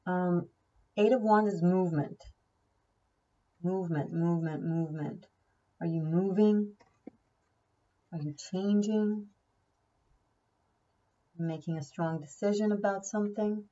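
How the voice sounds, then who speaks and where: A woman's voice: fairly low pitch, steady tone, a little slow, long pauses, dark tone, distant mic, lo-fi sound. A woman whose first language is Hebrew, giving a casual tarot reading, chatty and thinking aloud, often repeating key words. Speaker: tarot reader.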